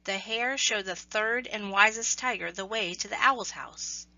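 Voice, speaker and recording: Bright-voiced woman reading a children's story. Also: medium pitch, measured pace, vocal fry, dry recording, dull audio. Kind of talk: scripted children's story narration.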